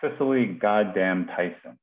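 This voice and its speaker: A low-voiced American man speaks as the poet host of a reading. His delivery is thoughtful and measured in tone. The sound is narrow and phone-like, with clear room echo from a kitchen video call. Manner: halting, thoughtful, repeats words. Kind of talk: informal hosting and poetry reading. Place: small domestic kitchen, indoors. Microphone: headset on a video call.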